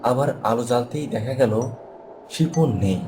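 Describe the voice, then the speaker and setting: A man's voice: mid-range voice, measured pace, a little mellow, slight background noise. A man reading scripted narration for a horror story. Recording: music behind the voice.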